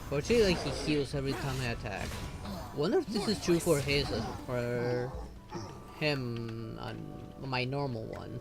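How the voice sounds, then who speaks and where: A man's voice: medium pitch, normal speed, creaky. Man streaming a game over music. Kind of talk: casual gameplay commentary.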